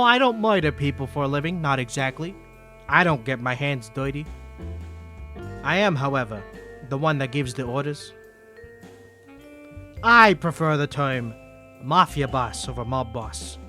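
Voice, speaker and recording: A man's voice: fairly high pitch, sharp tone. A man playing a suave, flirty mafia boss in a cartoony 1950s voice, speaking politely and self-assuredly in a scripted roleplay. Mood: composed, courteous.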